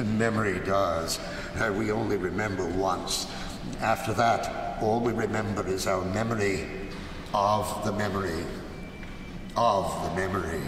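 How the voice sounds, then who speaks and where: An aged, gloating villain narrates his tale in a radio drama. The low voice is gravelly and halting, savoring the memory. A noisy, echoing hall surrounds it. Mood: wry reminiscing. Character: aged, gloating murderer recounting his old crime. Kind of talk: dramatic first-person narration, live radio play. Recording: echoey hall, live stage, clearly noisy.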